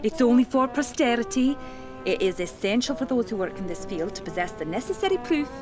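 Woman playing prim elderly spirit medium, lively pitch. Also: a little fast, music in the background. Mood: earnest. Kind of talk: period audio drama acting.

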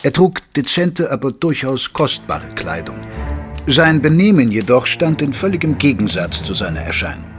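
A male actor plays a gentlemanly companion to a detective, telling the story of an audio drama. His mid-pitched voice moves in measured, literary phrases. Music plays behind him. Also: big pitch swings, natural voice. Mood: measured storytelling. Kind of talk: scripted narration in an audio drama.

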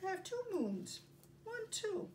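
An older woman presenting story time for young children, speaking in simple, deliberate phrases with pauses as she reads and demonstrates. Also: very high voice, sing-song, darkish tone. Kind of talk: read-aloud and craft demonstration for young children. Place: carpeted home living room. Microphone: camera a few feet away. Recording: distant mic, faint room noise.

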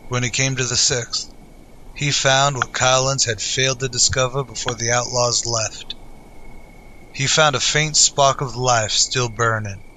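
Male narrator, bright forceful voice, middle pitch, dramatic old-time radio storytelling. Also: steady tone, measured pace, a little hiss or hum, low-quality recording.

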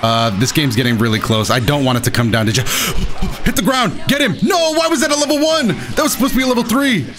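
A man hosting a gaming video with casual, excited, exclamatory and self-deprecating play-by-play commentary. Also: sits high, fairly quick. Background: game music behind the voice.

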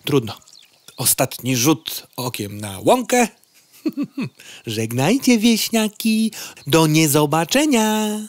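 A woman's voice: deep voice, very animated, takes it slowly, full character voice. A woman playing a hungry female housefly from the city, politely hesitant or sardonically grumbling, in a comic audio drama. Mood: chatty. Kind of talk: audio comedy drama acting.

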